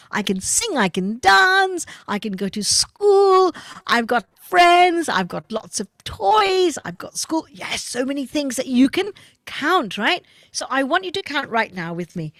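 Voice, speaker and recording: A woman storyteller speaking directly to children, with a high voice that leaps up and down expressively. She takes her time, and the sound is close and dry. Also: no echo. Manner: animated, talks directly to children.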